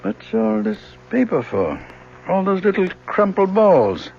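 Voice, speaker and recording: Worried older professor dad in an old radio play, really animated pitch, muffled noisy recording. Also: male voice, a little slow, gravelly, heavily acted. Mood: worried, exasperated. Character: worried, exasperated older professor father pleading with grown daughter. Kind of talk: radio drama acting.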